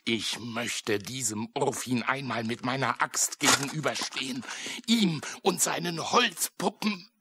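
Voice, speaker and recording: A man playing a solemn, brave woodcutter made of iron in a children's radio play, speaking deliberately in a hard, husky voice. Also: mid-range voice, noisy background, close to an everyday voice. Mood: grim, resolute.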